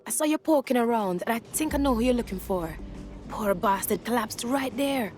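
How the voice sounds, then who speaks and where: An actress playing a frightened, wary woman held in a camp, speaking haltingly in a video game. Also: on the high side, lively intonation, natural voice. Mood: fearful, wary. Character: frightened female camp detainee who witnessed an abduction. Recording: music behind.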